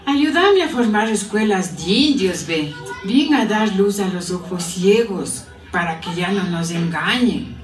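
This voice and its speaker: Woman acting a fervent ecuadorian andean activist lady, slow and animated, radio drama played in a roomy space. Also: medium pitch, close to an everyday voice. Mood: earnest pleading. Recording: roomy distant playback with faint music.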